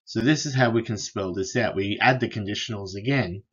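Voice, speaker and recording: A man lecturing on philosophy over slides, unhurried, with frequent fillers and restarts. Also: on the low side, breathy edge, highs cut off. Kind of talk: explaining logic over slides.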